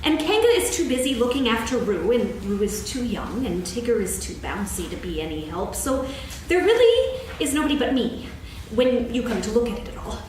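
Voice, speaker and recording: A woman playing a self-important, bustling rabbit in a staged reading of a children's story, brisk and officious. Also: fairly high pitch, big pitch swings, darkish tone. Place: on stage in a hall, recorded from afar. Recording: echoey hall, distant, faint noise.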